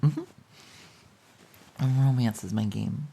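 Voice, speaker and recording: Woman acting a low, breathy, affectionate girlfriend. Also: slow pace, heavily acted. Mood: tender, reassured. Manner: soft, giggly, hesitant, cooing. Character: playful, pouty, affectionate girlfriend snuggling in bed. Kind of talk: intimate romantic audio roleplay.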